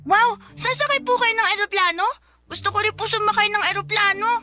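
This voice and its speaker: A woman's voice: very high-pitched, brisk, sharp tone. A woman playing an innocent, eager young child in a radio drama, polite and inquisitive. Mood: excited. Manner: polite, wide-eyed, inquisitive. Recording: music behind the voice. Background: music.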